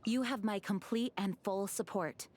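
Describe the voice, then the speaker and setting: An actress voicing a dutiful young woman knight and leader, speaking in a measured, earnest, formal way. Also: mid-range voice, a little mellow, lightly acted. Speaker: game character voice. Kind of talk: game character voice lines.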